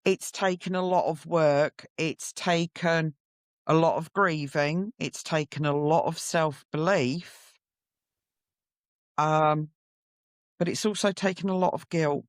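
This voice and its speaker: Middle-aged woman, podcast guest, reflective and candid, very measured pace, intimate dry recording. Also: low voice, dry recording. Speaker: podcast guest sharing her story. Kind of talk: personal storytelling in interview.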